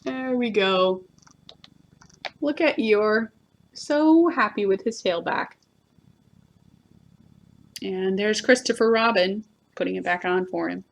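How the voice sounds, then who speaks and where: A woman reading a children's story aloud as a storyteller, in a gentle, expressive manner. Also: medium pitch, takes it slowly, gravelly, long pauses. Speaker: library storyteller.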